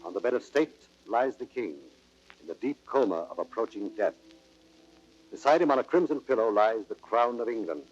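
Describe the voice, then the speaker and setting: A man's voice: medium pitch, expressive, normal speed. A man narrating an audio play in a grave, formal, dramatic storytelling style. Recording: dull, band-limited old record sound.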